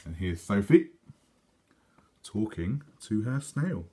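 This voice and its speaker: A man reads a children's storybook aloud with a teacher's measured delivery. His pitch is very animated and his tone slightly husky. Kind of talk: expressive storybook narration.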